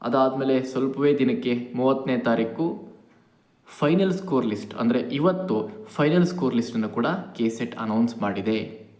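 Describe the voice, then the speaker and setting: A man hosts an informal educational update in a roomy, echoing space. His voice sits at a middle pitch. He explains things carefully, repeats key points and drops in English words. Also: animated, normal speed, darkish tone. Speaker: educational channel host. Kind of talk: informal exam update addressed to viewers. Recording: echoey room.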